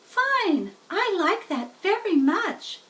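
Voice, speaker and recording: A tiny young spider girl, played by a woman reading a storybook, speaks in a very high, small voice. She is friendly and dainty, with slow, polite, sing-song phrasing. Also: sing-song, fairly bright tone, distant mic, full character voice. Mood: bright, pleased.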